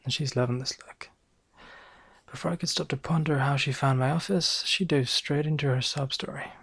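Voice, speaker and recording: A man with a Northern English accent playing a boastful, bumbling noir private eye, narrating deadpan with hesitant asides in an ASMR roleplay. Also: medium pitch, moderate pace, creaky, husky, no echo. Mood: wry, self-satisfied.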